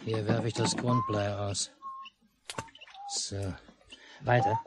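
A gruff, bossy lakeside father who barks terse orders in an unhurried, swooping voice. It is 1950s radio drama, with clear noise on the old mono recording. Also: fairly low pitch, huge pitch swings, heavily acted. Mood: commanding. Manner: terse, curt commands. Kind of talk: 1950s German radio play acting.

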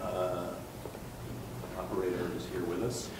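Low, flat-voiced architect in an echoey room. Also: male voice, recorded from a distance. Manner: halting, many fillers and restarts. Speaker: architect presenting a project. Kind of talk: public hearing presentation. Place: large conference room. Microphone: far room mic. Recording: echoey room from afar, background noise.

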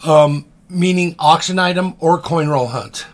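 A middle-aged man with a slightly high voice talks casually on a live stream from his home office. He rambles in a relaxed way, full of ums, as he walks viewers through items for sale. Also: measured pace. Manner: chatty, relaxed, many ums. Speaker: coin dealer host. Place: home office with coin displays.

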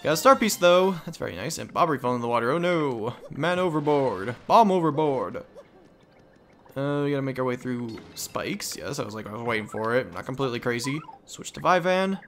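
Male let's play host, mid-pitched, casual and goofy, rambling commentary with game music behind. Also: lively intonation, fairly quick, a bit bright. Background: video game music.

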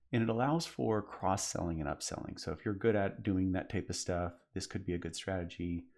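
Deep-voiced man giving a business talk. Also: darkish tone. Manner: casual, explanatory, frequent fillers. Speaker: conference presenter. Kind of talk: business presentation.

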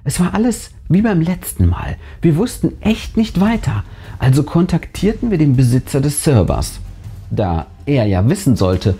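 Animated man narrating a scary story, mellow voice. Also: on the high side, moderate pace, breathy edge. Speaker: narrator and channel host. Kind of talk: scripted narration of a first-person horror story, read aloud. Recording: music bed behind the voice. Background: background music.

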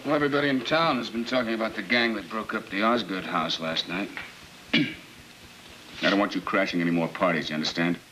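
A man's voice: lowish voice, fairly quick, natural voice. An actor playing a stern, threatening middle-aged father scolding his son in a 1950s film. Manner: commanding, scolding. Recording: noisy 1950s film soundtrack.

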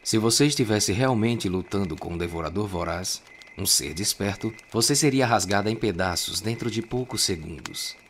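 A man with a Brazilian accent voicing a sly, condescending agent in a measured, mocking way for an anime fan dub. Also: lowish voice, lively intonation, slight background noise, voice pushed down, lightly acted. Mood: mocking, composed.

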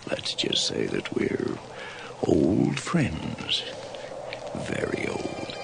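An actor playing a sinister, mocking old train conductor from the beyond, with folksy menace and a gravelly voice, in radio horror drama. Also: male voice, very deep voice, huge pitch swings, extremely slow, heavily acted. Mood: smug, menacing. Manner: folksy, menacing, taunting, drawling. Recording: slightly noisy 1980s radio recording.

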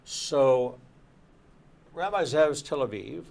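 A man's voice: medium pitch, expressive, slow pace, gravelly, a little mellow. An older man, a rabbi hosting a TV studio panel, speaking in an earnest preaching tone and repeating words as he goes. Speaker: rabbi and show host. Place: TV studio set.

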